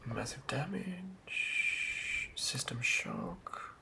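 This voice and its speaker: A whispered ASMR voice with heavy crackle running through it. The host speaks softly and close to the microphone, wandering through thoughts as they come, like a game master thinking aloud. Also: medium pitch, lively intonation, drawn-out words, gravelly. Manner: hushed, rambling, thinking aloud. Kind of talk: ASMR, unscripted whispered ramble.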